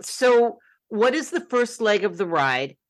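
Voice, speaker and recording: A woman's voice: fairly high pitch, big pitch swings, a little slow. A woman hosting an interview, chatty and enthusiastic. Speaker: interview host. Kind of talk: casual interview.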